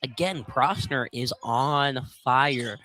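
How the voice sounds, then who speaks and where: A man giving conversational color commentary on a live basketball game, halting and rephrasing as he goes. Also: medium pitch, sharp tone, poor audio. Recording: slight background noise.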